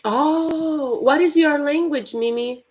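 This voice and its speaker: A Portuguese-accented woman leads a casual live English class, chatting with viewers. She talks at a slow, easy pace, laughs a lot, and breaks off to say words slowly and carefully. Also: high-pitched. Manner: chatty, laughs often, repeats words slowly to show pronunciation. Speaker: English teacher. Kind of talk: live-streamed language lesson.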